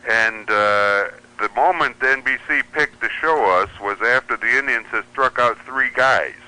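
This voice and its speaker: A man's voice: medium pitch, unhurried, sharp tone, clean tone, poor audio. A middle-aged American man telling casual, rambling anecdotes as a guest on a hobby radio show.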